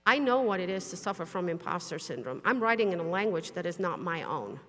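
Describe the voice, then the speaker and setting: A woman's voice: lowish voice, very animated, a little fast. A middle-aged woman whose first language is Dutch, giving a frank, plain-spoken conference talk with some mild swearing. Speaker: conference speaker. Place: large conference hall. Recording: long hall reverb.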